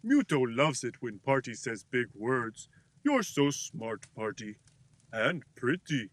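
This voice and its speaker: A man's voice: medium pitch, very animated, takes it slowly, a bit bright, dry recording, full character voice. A man voicing a naive, cheerful, sweet-toothed creature who speaks of himself in the third person in a comedic audio drama. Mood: sweet, delighted.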